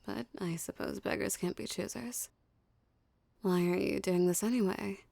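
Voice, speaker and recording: A woman playing a dominant, seductive mafia boss in an ASMR roleplay, with a soft, teasing, condescending drawl and menacing self-assurance. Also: mid-range voice, gravelly, dry recording. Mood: cool, threatening.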